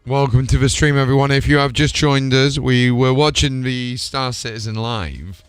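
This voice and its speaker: A man's voice: medium pitch, fairly even intonation, normal speed, clear, resonant tone, soft background music. A man chatting casually as a game livestreamer, thinking aloud in an informal way. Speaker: live streamer.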